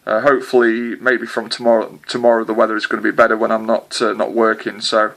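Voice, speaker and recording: A man's voice: lowish voice, completely flat delivery, fast talker, gravelly, fairly bright tone. A man chatting hesitantly with a distant contact as a CB radio operator, with lots of filler sounds. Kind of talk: casual radio contact chat.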